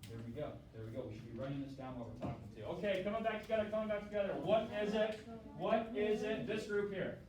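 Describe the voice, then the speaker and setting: A man teaching a classroom lesson, energetic, prompting students and acting out how things worked. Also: high-pitched, fast, recorded from a distance. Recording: very echoey classroom from afar, noisy.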